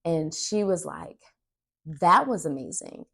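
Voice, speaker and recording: Young female vlogger, low voice, casual and animated storyteller who leans dramatically on key words. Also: slow pace, gravelly. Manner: animated, dramatic, acts out dialogue and emphasizes words. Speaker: podcast host and aspiring actress. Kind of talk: casual storytelling vlog chat.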